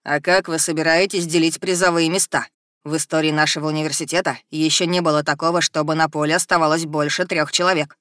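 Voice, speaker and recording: A woman voicing an older male university rector who gives formal, commanding, measured addresses in a fantasy audiobook. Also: low voice, steady tone, a bit bright, no room sound, studio quality. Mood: formal, proclaiming.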